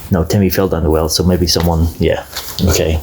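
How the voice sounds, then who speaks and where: A man's voice: low-pitched, little pitch movement, fairly quick, darkish tone, a little hiss or hum. A man thinking aloud as a contestant, flustered and self-deprecating. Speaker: game show contestant.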